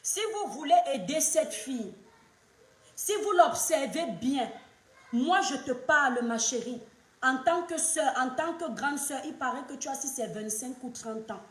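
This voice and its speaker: A woman in her thirties comments online with a high, pressing voice. She lectures emphatically and drives her points home by repeating them. The room around her sounds echoey. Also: lively intonation, measured pace, a bit bright. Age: 30s. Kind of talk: opinionated social media commentary.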